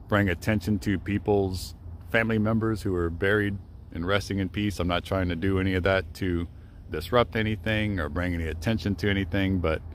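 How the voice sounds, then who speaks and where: A man's voice: low-pitched, slight background noise. A young man vlogging outdoors in a cemetery, talking respectfully in a rambling way with many fillers and self-corrections. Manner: respectful, hushed. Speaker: travel vlogger. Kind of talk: casual vlog monologue at a graveside.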